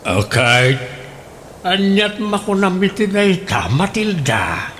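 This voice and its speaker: A man playing a serious older husband in a radio drama, speaking in a measured, advising way with an Ilocano accent. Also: high-pitched, very animated, a bit bright, slight background noise, heavily acted.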